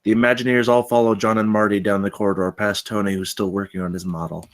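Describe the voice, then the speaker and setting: A man's voice: lowish voice, little pitch movement, quick pace. A man narrating stage directions from a script at a live table read.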